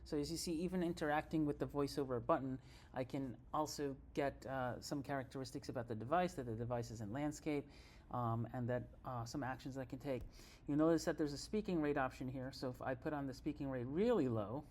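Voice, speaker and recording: A man presenting an informal software tutorial, explaining step by step in a halting, think-aloud way. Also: medium pitch, slight background noise. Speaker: presenter giving a software demo. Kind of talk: informal screen-reader tutorial narration.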